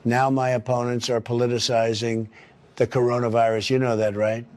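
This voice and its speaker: A boastful cat demagogue, played by a man, makes pompous political speeches in a satirical audio story. He repeats himself like a stump orator, paranoid and utterly self-important, at a middling male pitch. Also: level tone, normal speed, darkish tone, close to an everyday voice. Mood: dismissive boasting.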